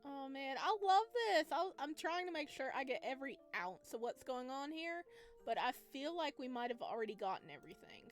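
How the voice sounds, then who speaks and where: A woman's voice: high-pitched, sing-song, brisk, soft background music. A woman hosting a gaming video, giving casual, gushing, enthusiastic let's-play commentary.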